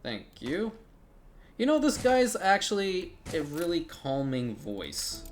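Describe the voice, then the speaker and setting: Guy rambling thru his city builder run, thinking out loud, cusses a bit. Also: fairly high pitch, huge pitch swings, slow pace, a little hiss or hum. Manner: rambling, thinks aloud, frequent restarts and swearing. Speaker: strategy game streamer. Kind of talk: casual gameplay commentary.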